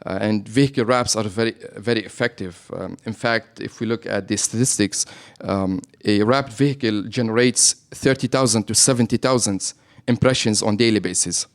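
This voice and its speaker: A man's voice: on the low side, normal speed, few pauses. A man with a Lebanese accent, a business owner talking as a guest in a radio interview, who often checks in with "right?". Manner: explains with frequent "right?" tags.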